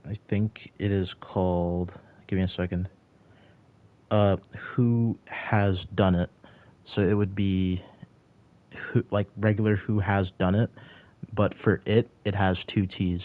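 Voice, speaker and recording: A young man of about twenty talks loosely to his livestream chat. He rambles, filling his speech with "like" and starting sentences over. His voice sits very low and comes through thin and phone-like. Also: level tone, slow pace, no room sound. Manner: rambling, heavy on "like" and restarts. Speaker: streamer answering chat. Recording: tinny phone-like audio.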